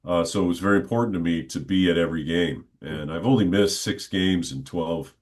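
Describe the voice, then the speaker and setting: Man, middle-aged, very low voice, good-humored, reflective, long casual stories. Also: fairly quick. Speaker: stadium announcer guest. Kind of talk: casual storytelling in an interview.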